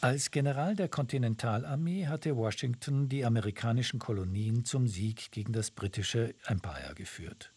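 A man reading scripted documentary narration in an even, measured way. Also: mid-range voice, a little hiss or hum.

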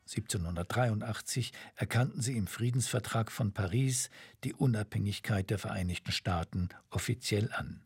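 A man reading scripted documentary narration in an even, measured way. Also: lowish voice, gravelly, husky, no room sound, clean studio sound.

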